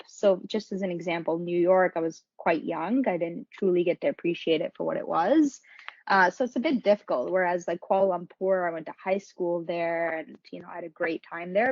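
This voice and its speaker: A woman's voice: fairly low pitch, darkish tone, no room sound, highs cut off. A woman giving reflective, conversational answers as a podcast guest, often adding "you know". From born in Pakistan, grew up in several countries. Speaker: podcast guest, marketing professional.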